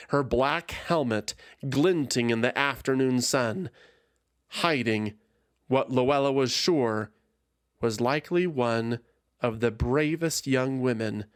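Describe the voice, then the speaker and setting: A man reading scripted fantasy fiction as a narrator, in a solemn, deliberate and dramatic way. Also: medium pitch, clean tone.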